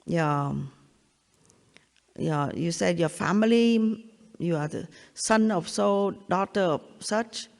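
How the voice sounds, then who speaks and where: Older Vietnamese-accented woman, spiritual teacher, halting and repetitive, long reverb of a large hall. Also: on the low side, gravelly, darkish tone. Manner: halting, repeats phrases, checks in with "yeah?". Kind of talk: satsang talk.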